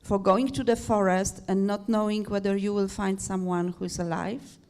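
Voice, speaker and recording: A woman with a Polish accent moderating a conference panel, asking questions haltingly as she searches for words. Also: medium pitch, fairly even intonation, a little mellow.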